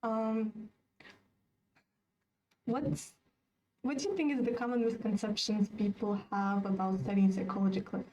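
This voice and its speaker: A woman's voice: medium pitch, little pitch movement, warm tone, far from the mic, dull audio. A young woman from Kazakhstan speaks as a student panelist, thoughtful and musing, humming "mm" between ideas. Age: young adult. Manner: thoughtful, with drawn-out hums. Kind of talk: casual webinar discussion. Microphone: video call.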